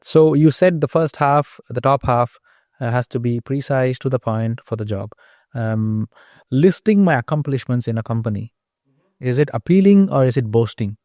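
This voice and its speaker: Guy hosting a podcast, mellow tone, lots of ums, dry sound. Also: mid-range voice, animated, normal speed, no room sound. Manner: hesitant, many ums, asks questions. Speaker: podcast host. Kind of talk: casual interview hosting.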